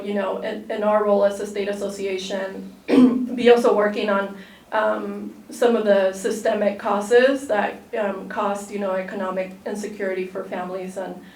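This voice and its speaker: A woman on a conference panel speaks haltingly, filling her pauses with ums and you-knows. Her pitch stays flat and even. She is heard from across a reverberant room. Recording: echoey conference room from a distance.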